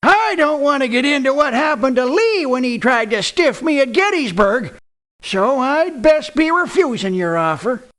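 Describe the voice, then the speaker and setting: A man's voice: very high voice, sing-song, normal speed, a bit bright, heavily acted. A man voicing a crusty, condescending old war veteran mercenary in a video game, with a folksy, patronizing delivery. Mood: condescending, stern. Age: older. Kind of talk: video game character voice lines.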